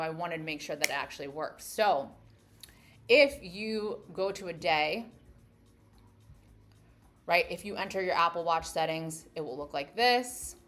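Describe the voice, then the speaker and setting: Lower-pitched American woman presenting a walkthrough. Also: fairly bright tone. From United States. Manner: explanatory, often checking in with "right?". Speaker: travel content creator and host. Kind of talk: casual screen-share app walkthrough.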